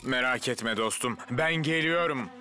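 A man's voice: mid-range voice, a little slow, lightly acted. An actor voicing a friendly, hapless young man in a cartoon dub, with a chummy manner. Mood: helpful, flustered. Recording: music behind the voice.

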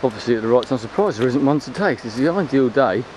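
A man talks in a chatty, rambling way at an ordinary pitch. He is giving an off-the-cuff walking commentary into a handheld camera's microphone. Clear outdoor noise of an open beach runs behind the voice. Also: lively intonation, rapid-fire, a little mellow. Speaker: vlogger filming a beach walk. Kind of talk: casual, unscripted walking commentary. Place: open beach at low tide. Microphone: handheld camera's built-in microphone.

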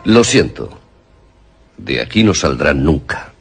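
An actor playing a menacing, coldly courteous masked villain in a radio horror drama, speaking deliberately with a gravelly, husky voice. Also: male voice, a bass voice, very animated, soft background music, full character voice. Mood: sinister, mocking. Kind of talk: Spanish-language radio horror acting.

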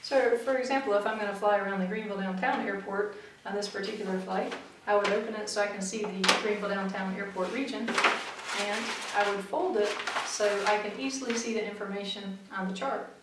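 A woman's voice: mid-range voice, steady tone, a little fast, very noisy. Methodical woman, very echoey far-off room. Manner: clear, methodical, practical advice. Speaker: flight instructor. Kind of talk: instructional explanation to camera. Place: small studio room in front of a backdrop, seated. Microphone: far camera mic.

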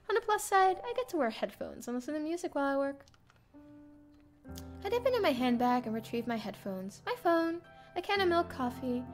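High voiced girl streamer reading a visual novel out loud, super animated n dramatic, bit of game music behind. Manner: expressive, theatrical reading. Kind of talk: dramatic first-person story narration.

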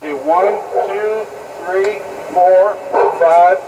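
A man's voice: high-pitched, fairly even intonation, very slow, highs cut off. A man counting aloud as a helper in a demonstration. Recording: very noisy, distant, heard from outside car.

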